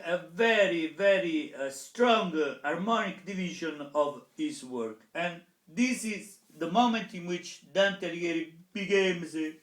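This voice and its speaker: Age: adult. Sex: man. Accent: Italian. Role: professor. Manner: halting lecture. Pitch: high. Pace: slow. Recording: echoey room. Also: a bit bright. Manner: hesitant, many pauses and fillers. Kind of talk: public lecture.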